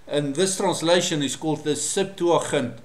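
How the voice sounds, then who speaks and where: Afrikaans-man teaching in an echoey room. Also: a little high. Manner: measured and explanatory, with frequent fillers. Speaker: Bible study presenter. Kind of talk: solo teaching monologue to camera.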